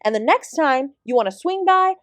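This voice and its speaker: High-voiced sarcastic immortal dancer played by a woman. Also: expressive, moderate pace, no room sound. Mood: scornful. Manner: sardonic, sweary, emphatic. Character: ancient female apsara dance guru, sarcastic, exasperated, fiercely independent. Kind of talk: scripted audio roleplay addressed to the listener.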